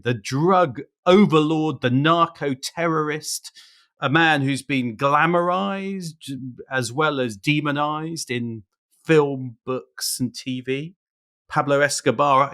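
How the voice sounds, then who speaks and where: A British man co-hosting a podcast, talking in an emphatic, conversational way. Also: on the high side. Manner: animated, emphatic, stretches key words. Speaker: podcast co-host.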